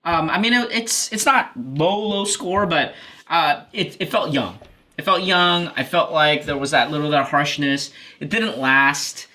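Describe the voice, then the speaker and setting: Hesitant co-host with a bright voice. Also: medium pitch, measured pace. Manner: hesitant, restarts words while giving opinions. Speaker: podcast co-host. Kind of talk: casual spirits review chat.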